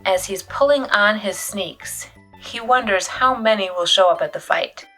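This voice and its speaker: A woman reading a children's novel aloud as an expressive storyteller, with dramatic pauses and emphasis. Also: mid-range voice, faint music behind, rough recording. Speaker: youth services librarian reading a book aloud.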